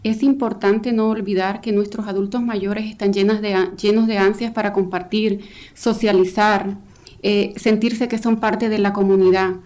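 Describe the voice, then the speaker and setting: A woman's voice: medium pitch, steady tone, fairly quick, a little mellow. A woman from El Salvador, a volunteer coordinator testifying before a county council in an earnest, hesitant way with frequent fillers. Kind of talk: public testimony.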